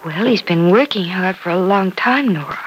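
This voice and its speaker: Actress doing a gentle confidante, soothing, reassuring, mid-pitched voice, faint hiss behind, old radio soap. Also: female voice, moderate pace, close to an everyday voice, poor audio. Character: gentle, comforting woman confidante. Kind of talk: 1950s radio soap acting.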